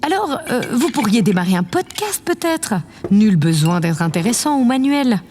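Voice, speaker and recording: A vain, grand lady president played by a woman in a sci-fi audio drama, speaking in a high voice. She is imperious and self-satisfied, condescending yet fond. Her voice rings through a long reverb with background noise. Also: big pitch swings, normal speed, a little mellow. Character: vain, imperious but affectionate female president of a space colony. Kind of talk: scripted audio drama acting.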